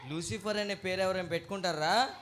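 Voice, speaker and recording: A man's voice: sits high, quick pace. A man hosting a church children's puppet show, exclamatory and calling out questions to the children. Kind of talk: lively talk with children and a puppet. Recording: noisy live event with crowd. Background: children's crowd and loud noise.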